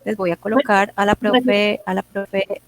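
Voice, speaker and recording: A woman's voice: medium pitch, steady tone, a little slow, gravelly, faint music behind. A woman presenting at a forum who guides the audience through material, with fillers. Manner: guiding, with fillers "eh", "entonces".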